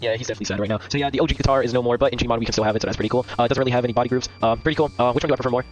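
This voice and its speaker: A man with a cracked, hoarse voice gives casual, rambling commentary as he reviews game mods. He repeats himself and throws in joking asides. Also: mid-range voice, very fast, faint music behind. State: hoarse, voice cracking while recovering. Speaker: YouTube game mod reviewer.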